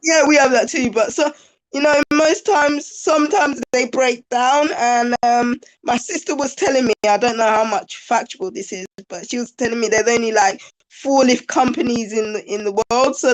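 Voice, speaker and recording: A woman guest with a slightly croaky voice, chatting casually over a video call and laughing easily. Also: fairly high pitch, poor audio. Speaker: interview guest.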